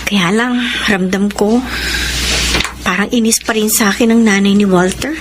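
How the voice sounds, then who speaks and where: A woman's voice: mid-range voice, steady tone, normal speed, close to an everyday voice. A woman playing a meek, hardworking teenage Filipino wife in a radio drama, polite, earnest and eager to please. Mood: wistful. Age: young adult. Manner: polite, soft-hearted, earnest. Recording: noisy radio drama track.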